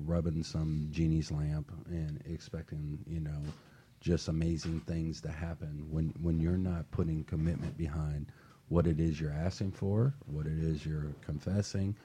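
A man speaking earnestly and haltingly as a guest in a casual panel discussion. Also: rumbling low, mellow, slightly rough.

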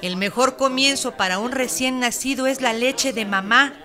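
An actress playing a courteous, helpful helpline operator in a scripted health radio spot, formal and informative, with a Mexican accent. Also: female voice, high-pitched, normal speed, sharp tone. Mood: instructive.

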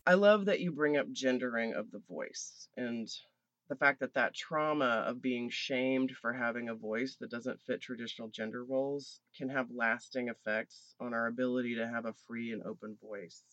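A woman with a fairly low voice hosts the interview and asks thoughtful, reflective questions. The sound is dry and close, with dulled highs. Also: moderate pace, fairly bright tone, no echo, lo-fi sound. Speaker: podcast host.

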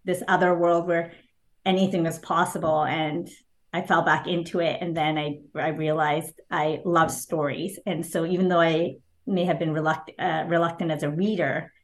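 A woman whose first language is Japanese, speaking as an author guest in an interview, thoughtful and hesitant with fillers and soft laughs. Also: sits low. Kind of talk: casual interview answers.

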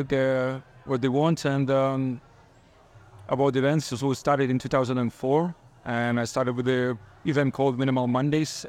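A man from Lithuania, a panel guest, rambling and searching for words as he talks about himself. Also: medium pitch. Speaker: panel guest, event organizer and DJ. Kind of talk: casual self-introduction.

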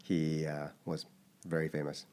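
A man's voice: rumbling low, level tone, unhurried, mellow. A man in his thirties who grew up in Japan, answering interview questions in a thoughtful, hesitant way with many restarts. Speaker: interview guest. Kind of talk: casual interview.

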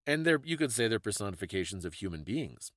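A man's voice: fairly low pitch, very animated, fast talker, fairly bright tone, no echo, clean studio sound. A man co-hosting a podcast, casually discussing folklore and stories in a thoughtful, explanatory way. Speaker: podcast co-host.

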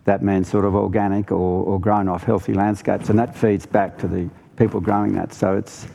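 A man's voice: deep voice, level tone, normal speed, vocal fry, warm tone, slight background noise. An Australian man speaking earnestly and emphatically as a panellist in a live discussion. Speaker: farmer and ecologist, festival panellist.

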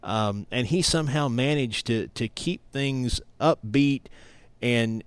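A man's voice: medium pitch, no echo. American man reminiscing, close dry sound. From East Tennessee, United States. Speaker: radio play-by-play sports broadcaster, interview guest. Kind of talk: conversational interview, reminiscing.

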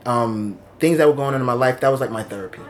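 A man's voice: medium pitch, fast talker, a little hiss or hum. A man in his thirties from Long Island, speaking candidly and reflectively as an oral history interviewee, recorded in an office. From Long Island, New York. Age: 30s. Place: office indoors.

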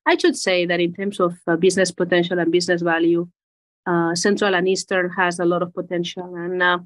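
Spanish-accented businesswoman, lowish voice. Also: level tone, a little fast. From Spain. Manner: careful, repeats words while searching for phrasing. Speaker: corporate executive guest. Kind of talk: business interview answers.

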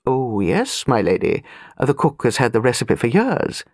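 A woman's voice: a bass voice, very animated, normal speed, darkish tone, no room sound, clean studio sound, pitched below the performer's own voice, exaggerated voice. A woman voicing a courtly, avuncular male butler of fifty, deferential and polished, in an audiobook. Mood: soothing, deferential.

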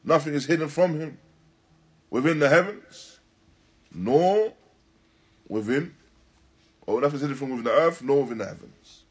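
A man teaching in a religious lecture, deliberate and emphatic, repeating and rephrasing his phrases as he explains a text. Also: fairly high pitch, slightly rough. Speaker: religious teacher giving a lesson. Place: Islamic centre, live stream.

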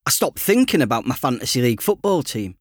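A man narrates a first-person story in a chatty, self-deprecating way. His pitch moves about a great deal, and the sound is dry and close. He tells it expressively, as a teenage boy confiding in the listener. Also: very animated, fast talker, no room sound. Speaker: author reading his own audiobook.

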